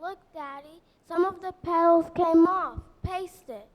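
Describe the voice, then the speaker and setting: An actress voicing a small, earnest young daughter who talks innocently. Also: female voice, very high voice, unhurried, in a large hall. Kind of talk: 1940s radio acting.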